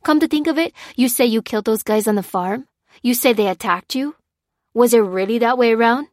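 A woman's voice: medium pitch, a little fast, no room sound, studio quality, close to an everyday voice. Woman voicing a furious growling male soldier. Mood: angry ranting. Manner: growling, ranting, hurling blame. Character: bitter hardened male soldier, furious and accusing. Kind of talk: audiobook character reading.

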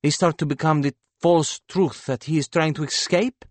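A man's voice: on the high side, steady tone, normal speed, no echo, voice pushed up, close to an everyday voice. A man voicing a passionate, blunt young woman painter in an audiobook, speaking with earnest emphasis. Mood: rapturous.

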